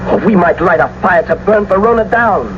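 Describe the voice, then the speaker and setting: A man's voice: sits high, a little fast, close to an everyday voice. An actor playing an impetuous young nobleman in love, speaking with poetic fervor in a classical radio drama. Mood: eager. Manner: poetic, fervent, theatrical delivery. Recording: muffled, noisy old radio broadcast recording.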